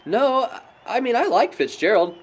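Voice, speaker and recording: A man's voice: very high-pitched, animated, normal speed, husky, heavily acted. A young American man acting as a wry, sarcastic, bookish friend in film dialogue. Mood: reminiscing. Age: young adult. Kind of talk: naturalistic amateur film dialogue.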